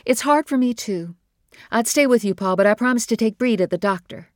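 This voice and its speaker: A weary, stern mother, played by a woman reading a storybook, talks to her son. Her voice sits clearly higher and stronger than the narration, brisk and insistent. The recording is dry and close. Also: expressive, dry recording, natural voice. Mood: worried. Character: stern tired mother, woman, middle-aged.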